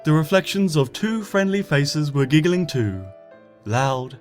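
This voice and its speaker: A man reading a fan story aloud as an expressive narrator, often dropping to a hush at sentence ends. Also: on the high side, unhurried. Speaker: fanfiction reader. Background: soft background music.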